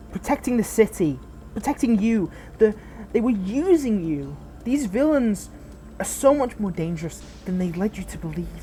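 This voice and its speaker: A woman playing a protective, bitter but caring fallen hero in an audio roleplay, speaking intimately and earnestly with halting, dramatic pauses. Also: medium pitch, warm tone, close to an everyday voice. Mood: distraught, pleading. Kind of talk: scripted audio roleplay addressed to the listener.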